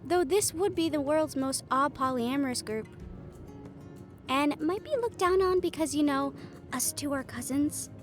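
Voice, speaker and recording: A woman's voice: sits high, lively intonation, a little slow. A woman playing a witty, confident young female adventurer in a playful, conversational audio roleplay. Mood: playful teasing. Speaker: amateur voice actor. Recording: music behind the voice.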